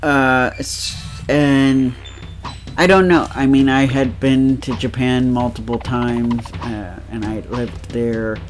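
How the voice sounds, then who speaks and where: A man's voice: medium pitch, steady tone, takes it slowly, a little hiss or hum. A man with a nasal voice chatting casually while playing a game, rambling with lots of fillers and laughs. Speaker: let's play co-host. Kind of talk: casual chat over gameplay.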